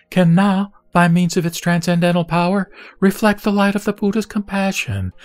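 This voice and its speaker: A man reading Buddhist scripture as scripted narration, in measured, deliberate short phrases. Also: mid-range voice, a little mellow, no echo. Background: background music.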